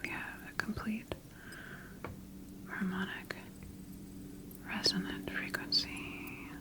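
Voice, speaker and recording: A woman playing a calm, clinical sci-fi scientist in a soothing, methodical ASMR roleplay, speaking in a breathy whisper. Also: lowish voice, poor audio. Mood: clinical, absorbed. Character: calm, clinical female sci-fi scientist examining her creation.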